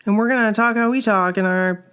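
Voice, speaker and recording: A woman's voice: medium pitch, brisk, a bit bright, smooth. A woman with a Maine accent co-hosting a podcast in casual chat, nasal and congested from a sore throat, chatty and self-deprecating. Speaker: podcast co-host.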